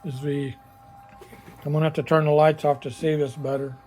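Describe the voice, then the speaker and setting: A man with a slightly high-set voice and very flat intonation talks through a homemade project. He is casual and unscripted, matter-of-fact, and a little halting as he explains. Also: faint music behind. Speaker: hobbyist builder presenting a project.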